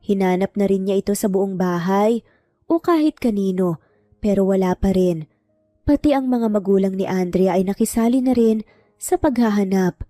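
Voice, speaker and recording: A woman's voice: mid-range voice, normal speed, warm tone, no room sound, faint music behind. A woman narrating a scripted romantic drama story as a storyteller.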